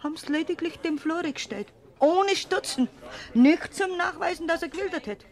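An actress playing an older gossiping Bavarian peasant aunt, earthy and scolding, in a classic radio play. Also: female voice, very high-pitched, moderate pace.

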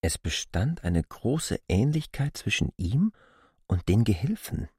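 A man with a husky voice giving a scripted narration of literary fiction. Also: fairly low pitch, big pitch swings, unhurried, warm tone, no echo, clean studio sound.

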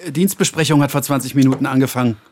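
A man's voice: medium pitch, fairly even intonation, fast, a little mellow, natural voice. A man playing a nervous, eager young police assistant in a radio play, hesitant and stammering. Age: young adult as played. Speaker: actor reading several parts.

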